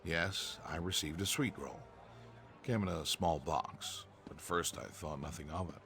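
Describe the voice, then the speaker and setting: Deep-voiced nervous merchant. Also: male voice, a little slow, pushed and bright, heavily acted. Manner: earnest, nervous, formal. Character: anxious male merchant clerk, earnest, proper. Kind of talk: game dialogue, AI voiced. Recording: faint game music behind.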